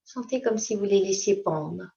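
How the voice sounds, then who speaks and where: A woman's voice: mid-range voice, creaky. Woman guiding meditation, dull lo-fi recording. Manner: calm, unhurried, gently instructing in short phrases. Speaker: yoga teacher. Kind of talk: slow guided yoga nidra meditation. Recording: dull, lo-fi sound.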